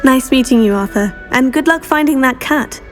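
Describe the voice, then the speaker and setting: A woman playing a polite, earnest teenage girl, a new student in a video game, measured in manner. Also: sits high, faint music behind, lightly acted. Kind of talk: video game character dialogue.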